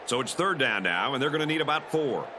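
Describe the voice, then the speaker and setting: A man's voice: medium pitch, lively intonation, fast, noisy background, lightly acted. A man playing a play-by-play announcer in an American football video game. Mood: focused commentary. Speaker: play-by-play commentator.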